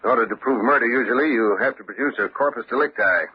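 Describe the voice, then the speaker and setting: Homicide lieutenant: hard-boiled, shrewd, assured, average male pitch, vintage radio crime drama with muffled sound. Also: fast, slightly rough. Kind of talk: old-time radio crime drama acting. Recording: dull, lo-fi old radio recording, faint noise.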